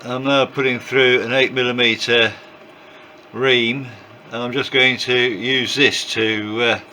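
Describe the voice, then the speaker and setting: An older man with a croaky voice from a cold, narrating his build in a home workshop with many pauses and fillers. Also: mid-range voice, background noise. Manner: relaxed, hesitant with many fillers. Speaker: aircraft builder.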